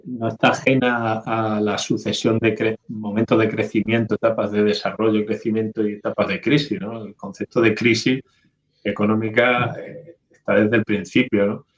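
A man, an economist guest, explaining hesitantly in an interview with frequent tag questions. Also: lowish voice. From Málaga, Spain. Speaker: guest economist, university professor. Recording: slightly dull remote call sound.

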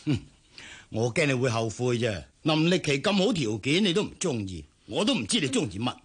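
A man's voice: medium pitch, very animated, brisk, slightly rough. A middle-aged Hong Kong businessman father in a radio drama. He is bossy and money-minded, nagging with big, swooping intonation and plenty of bluster. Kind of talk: Cantonese radio drama acting.

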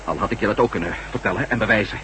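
An actor playing an emotional, struggling former astronaut hero with intense, halting delivery in a science fiction radio drama. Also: male voice, fairly low pitch, fairly bright tone, natural voice. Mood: earnest. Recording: faintly noisy old radio recording.

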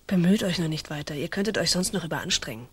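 Young noblewoman, radio drama: cool, proud, formal, slightly husky voice. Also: deep voice, lively intonation, fast talker, heavily acted. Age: young adult. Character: proud, reluctant young colonial noblewoman and daughter. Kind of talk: German radio drama acting.

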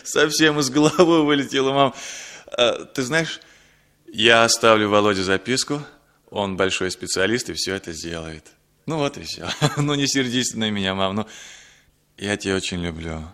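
An actor playing a chatty, affectionate husband and son in a 1960s Soviet radio play. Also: male voice, lowish voice, normal speed, fairly bright tone, clear, resonant tone, close to an everyday voice. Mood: soothing, apologetic.

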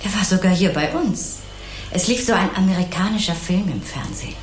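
An actress playing a kindly, naive, chatty housewife in an obliging, rambling way in a German crime radio play. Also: female voice, lowish voice, animated, fairly quick, echoey room, slight background noise, close to an everyday voice. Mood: sympathetic, chatty. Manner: obliging, artless, rambling, sympathetic.

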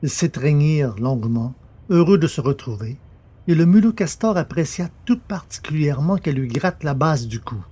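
A man's voice: fairly high pitch, lively intonation, normal speed, warm tone, slight background noise. A man narrating a scripted science fiction story as the narrator of an audio drama.

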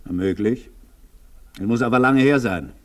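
An actor playing a reserved, disillusioned Austrian dam worker in a radio drama, speaking tersely and subdued. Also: male voice, medium pitch, a little slow, natural voice. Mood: guarded.

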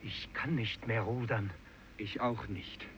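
A man's voice: fairly low pitch, fairly even intonation, slow pace, a bit bright. A man playing a spent, suffering fisherman in a radio play, bleak and resigned. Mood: bleak exhaustion. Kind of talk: 1950s German radio play acting. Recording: dull, noisy vintage radio recording.

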